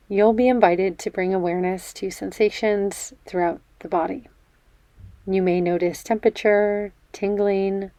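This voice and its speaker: A woman leading a guided meditation in a gentle, measured, soothing way, leaving pauses between phrases. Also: mid-range voice, dry recording. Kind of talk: guided meditation, body scan.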